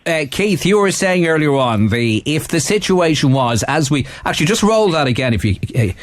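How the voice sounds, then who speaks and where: A man's voice: on the high side, sing-song, moderate pace. A man hosting a live radio phone-in from a studio, on a noisy recording.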